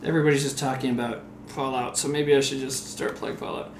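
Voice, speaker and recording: A man's voice: mid-range voice, little pitch movement, fast talker. A man in his forties chatting loosely while he cooks at home, telling rambling stories with laughs and the odd swear word, a little tipsy from rum. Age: 40s. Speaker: comedian streaming while cooking. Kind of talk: casual rambling chat. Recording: echoey room with faint background noise.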